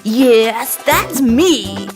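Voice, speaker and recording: Woman playing a high, cocky little gingerbread man. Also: animated, extremely slow. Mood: gleeful boasting. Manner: boastful, sing-song taunting. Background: music.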